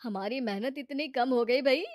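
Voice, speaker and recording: A woman's voice: high voice, normal speed, dry recording, studio quality, close to an everyday voice. A woman voicing a trusting older father-in-law who is baffled by technology, in a family story. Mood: admiring. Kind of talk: animated family story character acting.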